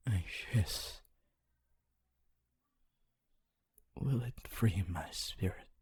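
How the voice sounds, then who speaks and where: Man playing a slow, breathy, lonely male ghost. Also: lowish voice, exaggerated voice. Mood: tender, longing. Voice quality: breathy, hushed. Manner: slow, halting, wistful, intimate. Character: honorable lonely male ghost, former teacher, tender and regretful. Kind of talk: ASMR roleplay monologue.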